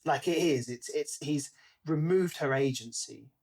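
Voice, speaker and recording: A man co-hosting a podcast in a casual book discussion, retelling things in an animated way and slipping into acted-out quotes. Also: a little high, a little slow. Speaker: podcast co-host.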